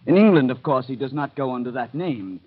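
A man acting in a 1930s radio drama as a sly, insinuating informer who probes with theatrical, deliberate pauses. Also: mid-range voice, expressive, close to an everyday voice. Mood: sly, probing. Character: sly, insinuating male informer, probing and needling. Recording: dull, muffled vintage radio recording.

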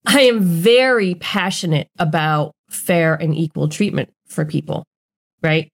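A woman's voice: deep voice, a little slow. A woman co-hosting a podcast, talking in an earnest, emphatic, blunt way in casual conversation. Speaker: podcast co-host.

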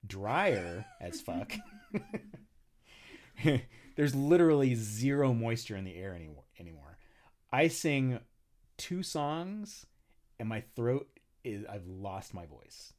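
Lively, swearing man telling a story. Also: medium pitch, takes it slowly, pauses often. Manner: animated, profane, laughs often. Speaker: podcast guest, singer. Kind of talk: casual chat, storytelling.

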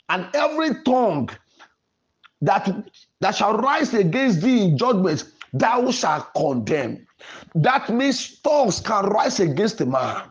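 A man, a pastor, preaching and praying in an emphatic, declamatory way with repeated phrasing. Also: high-pitched. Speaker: pastor, programme host. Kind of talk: Pentecostal sermon and prayer. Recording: music playing behind the voice. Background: music.

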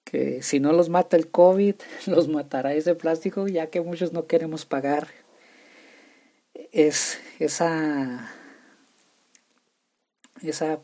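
A man's voice: a little high, dark tone. A young man from Chihuahua, Mexico, with a northern Mexican accent, hosting a casual solo show and often stumbling over his words and restarting. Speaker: podcast host.

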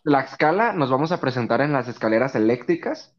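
A man's voice: medium pitch, expressive, brisk, muffled recording. A young man with a Mexican accent chatting casually as a podcast co-host, slangy, profane and playful. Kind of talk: casual joking chat among friends.

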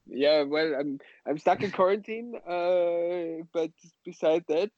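Dull-sounding Austrian man, halting casual talk. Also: a little high, fairly even intonation, no echo. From western Austria. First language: German. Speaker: guest, sommelier and wine bar co-owner. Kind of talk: casual interview chat.

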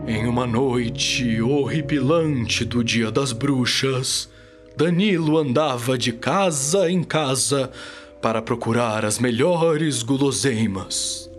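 A man's voice: mid-range voice, takes it slowly. A young Brazilian man narrating a scripted children's radio story in a dramatic storytelling style. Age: young adult. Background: music.

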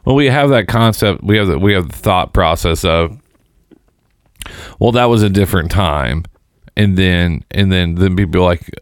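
Deep-voiced blunt man. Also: moderate pace, dry recording, studio quality. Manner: blunt, profane, joking. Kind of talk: casual opinionated group chat.

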